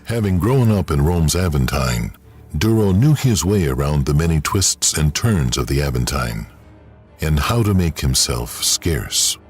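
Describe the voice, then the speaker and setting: A man narrating a scripted dramatic story in a measured, wry storyteller's manner. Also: very deep voice, expressive, slightly rough, dry recording. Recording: loud music behind.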